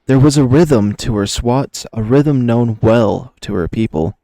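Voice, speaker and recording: Male narrator for scripted fiction: mellow, relaxed tone, medium pitch, expressive delivery, very dry sound. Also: measured pace, dry recording. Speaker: narrator, reader.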